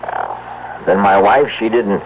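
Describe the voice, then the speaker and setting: A man's voice: fairly low pitch, wildly swooping pitch, a little slow, creaky, heavily acted. A man acting in a 1970s radio drama as a worried, insistent husband who questions in plain, pressing words. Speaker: actor in a radio mystery drama. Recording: thin, phone-like old radio recording, hiss.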